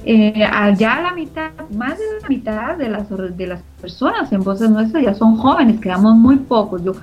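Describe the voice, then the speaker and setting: A woman's voice: on the high side, expressive, normal speed. A middle-aged woman with a Costa Rican Spanish accent, answering interview questions in an earnest, emphatic way and repeating phrases for emphasis. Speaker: interviewee, co-founder of a community radio organization. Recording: muffled lo-fi audio with background music.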